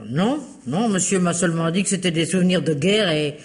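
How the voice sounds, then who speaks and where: A woman's voice: on the low side, a little fast, natural voice. A woman playing a blunt, protective, grumbling household cook with colloquial speech, in old radio mystery style. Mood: stubborn. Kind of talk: 1950s French radio mystery acting.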